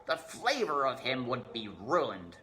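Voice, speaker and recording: A man voicing a disdainful, fastidious villainous rat in a storybook. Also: medium pitch, wildly swooping pitch, sharp tone, voice pushed up, full character voice. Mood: disgusted.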